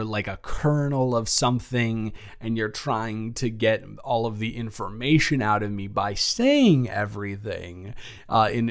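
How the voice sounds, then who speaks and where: A man acts out an anxious young student in an intimate audio roleplay, talking to the dormmate he has a crush on. He has a mid-pitched male voice. He rambles and stumbles, flustered and awkward, often correcting himself.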